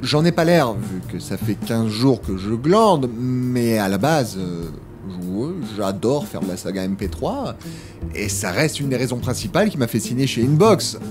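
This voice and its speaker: A man's voice: medium pitch, big pitch swings, normal speed, music in the background, close to an everyday voice. A man playing an exasperated, grumbling start-up employee in a comedic audio drama, hesitating and stammering as he goes. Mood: agitated.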